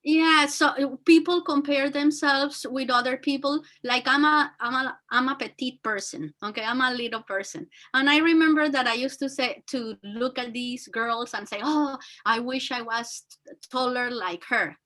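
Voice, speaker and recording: High-voiced woman giving earnest advice. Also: sharp tone. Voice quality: very high-pitched voice. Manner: earnest, halting with fillers and restarts. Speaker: guest speaker and teacher. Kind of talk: motivational talk.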